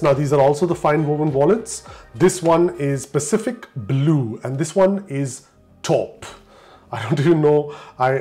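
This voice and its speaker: A man's voice: mid-range voice, darkish tone. A young man from Dubai with an Indian accent, chatting casually as he unboxes gadgets and keeps asking viewers what they think. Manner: chatty, asks viewers for comments. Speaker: tech channel host.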